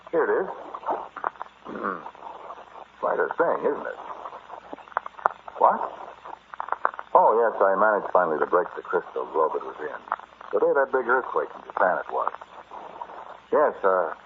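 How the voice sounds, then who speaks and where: Through a muffled, noisy vintage radio recording comes a callous, megalomaniac treasure thief. His voice is very low, and he speaks haltingly and confidingly, like the narrator of an old horror broadcast. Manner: halting, intimate, addressing a listener directly. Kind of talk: old-time radio horror acting, first-person narration.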